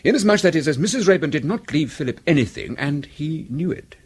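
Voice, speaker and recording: An actor playing a formal, obliging English solicitor in a British radio drama, speaking politely with a Received Pronunciation accent. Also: male voice, fairly high pitch, lively intonation, brisk, darkish tone, slightly rough. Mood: measured, confiding. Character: courteous, discreet English solicitor, a man of business.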